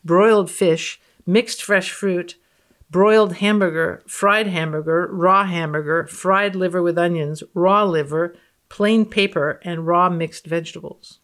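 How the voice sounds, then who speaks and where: A woman's voice: lowish voice, steady tone, a little slow, a bit bright. A woman guest on a podcast, reading research aloud and commenting in a wry, matter-of-fact way. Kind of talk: reading research aloud with casual, unscripted reactions.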